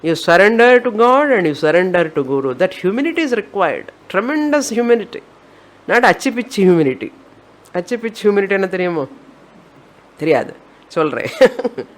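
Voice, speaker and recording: A woman's voice: mid-range voice, very animated, a little fast, long pauses. A woman with an Indian accent, a spiritual teacher giving an emphatic, repetitive discourse on scripture.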